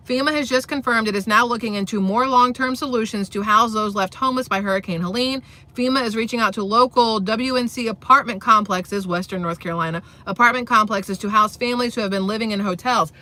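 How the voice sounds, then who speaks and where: A woman's voice: a little high, fast talker, bright, forceful tone. Blunt, profane woman with a boyish voice. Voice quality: boyish-sounding voice. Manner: blunt, profane, sarcastic, rambling. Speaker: commentary channel host. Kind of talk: rambling political commentary vlog.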